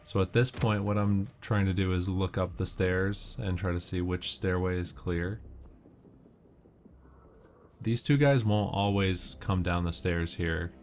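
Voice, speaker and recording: A man's voice: sits low, steady tone, measured pace. A man giving casual, matter-of-fact gameplay commentary, explaining tactics as he goes. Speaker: gamer giving walkthrough tips. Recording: noisy, game gunfire behind voice. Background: video game gunfire and ambience.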